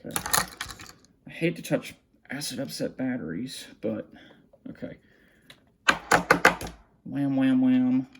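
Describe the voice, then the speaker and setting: A man with a gravelly, creaky voice giving casual unscripted commentary, muttering to himself while he works with his hands. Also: medium pitch, slow pace, long pauses, very noisy. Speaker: hobbyist vlogger showing a radio collection. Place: small indoor room at a cluttered desk.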